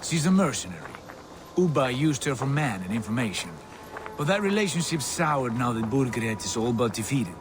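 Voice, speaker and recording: Guy doing a wry confident viking boss in a game, animated but in control, background noise clearly there. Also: medium pitch, moderate pace, close to an everyday voice. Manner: calm, authoritative, wry. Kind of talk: video game cutscene acting.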